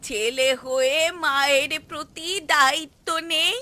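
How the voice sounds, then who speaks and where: A woman doing a shrill-pitched, demanding old mother. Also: fairly even intonation, measured pace, pushed and bright. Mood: scolding, demanding. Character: demanding, self-pitying elderly mother, wailing and scolding. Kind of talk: cartoon story dialogue. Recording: music playing behind the voice.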